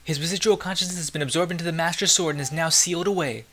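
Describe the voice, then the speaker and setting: Man reading as a higher-pitched, formal sword spirit. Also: very fast, sharp tone. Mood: detached, formal. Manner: formal, precise. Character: formal, emotionless sword spirit reporting facts.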